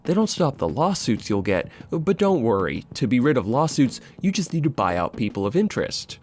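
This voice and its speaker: A man delivers scripted video essay narration as if chatting with the viewer. His intonation is lively and wide-ranging, with sardonic emphasis and casual asides. Soft music plays behind his mid-pitched voice. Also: fairly quick.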